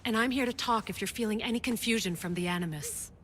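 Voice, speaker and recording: A woman's voice: a little high, fast, fairly bright tone, lightly acted. An actress playing a caring but firm woman doctor who keeps a colleague's health in check. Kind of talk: game cutscene acting. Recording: long-reverb room with faint noise.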